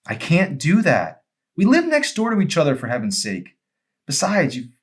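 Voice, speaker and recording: A man's voice: a little high, huge pitch swings, normal speed, slightly rough, pitched above the performer's own voice, full character voice. A man voicing a hurt, emotional young girl in an audiobook, with an emphatic, pleading manner. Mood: upset, pleading.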